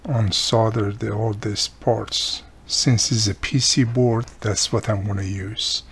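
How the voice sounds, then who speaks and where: Gravelly, husky man explaining repairs. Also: lowish voice, fairly even intonation, slow, fairly bright tone. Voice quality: raspy, gravelly. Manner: explains step by step, thinking aloud. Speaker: repair video host. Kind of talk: casual hands-on tutorial narration.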